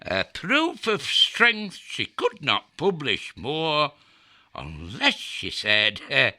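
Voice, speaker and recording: A man's voice: fairly high pitch, huge pitch swings, bright, forceful tone, heavily acted. A man playing a scurrilous, sneering onlooker in a Shakespearean war drama, drawing out his mockery. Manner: mocking, drawn-out. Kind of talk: theatrical Shakespearean acting.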